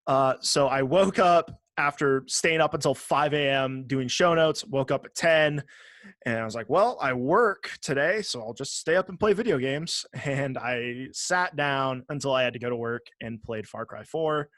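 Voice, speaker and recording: A man's voice: mid-range voice, moderate pace, a bit bright, dry recording. A man co-hosting a podcast, chatting casually about video games with wry mock-formal phrasing and some swearing. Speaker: podcast co-host.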